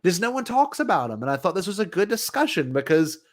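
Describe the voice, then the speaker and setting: A man's voice: high voice, sing-song, fast. A man co-hosting a podcast in casual fan discussion, speaking enthusiastically and emphatically with plenty of slang. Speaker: podcast co-host.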